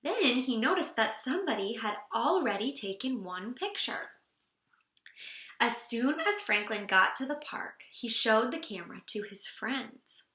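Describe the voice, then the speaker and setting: High-voiced lady doing kids storytime, really expressive, echoey room. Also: a little slow. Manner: animated, expressive storytelling for young children. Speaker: speech teacher reading aloud to children. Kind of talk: expressive children's storybook read-aloud.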